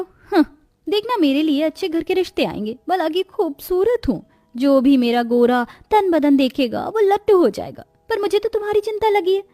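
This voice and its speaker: Haughty, spiteful pretty young sister for a cartoon tale, voiced by a woman, very high and snootily whiny. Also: a little mellow, dry recording. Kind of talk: cartoon moral story voice acting.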